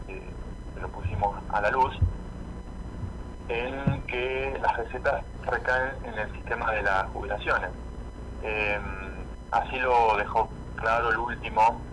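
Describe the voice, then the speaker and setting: A man with an Argentine accent giving spoken political and economic commentary as a radio columnist, halting and repeating words as he thinks aloud. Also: on the low side, pushed and bright, a little hiss or hum, rough recording.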